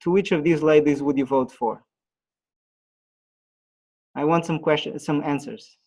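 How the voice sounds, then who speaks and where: A man presenting an online webinar on pitching, pausing often with fillers and restarts, heard over a video call. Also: steady tone, a little mellow. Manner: halting, many fillers and restarts.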